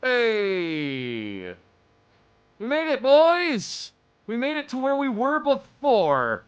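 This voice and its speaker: A man's voice: very high voice, extremely slow, smooth, lo-fi sound. A young man streaming a game live, chatting casually and joking as he plays. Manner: joking, chatty, animated reactions. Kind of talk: casual live-stream chat while gaming.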